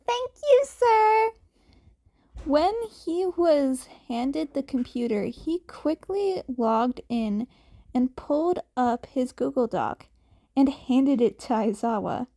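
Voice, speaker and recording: A woman's voice: sits high, slow, mellow. A woman reading a fan fiction story aloud as scripted audiobook narration. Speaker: podfic narrator.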